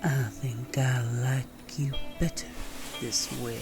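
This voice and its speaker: A man's voice: mid-range voice, steady tone, slow pace, over music. A man playing a flirtatious, roguish werewolf bounty hunter in a Western audio roleplay, with a teasing, theatrical Southern American drawl. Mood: menacing, teasing.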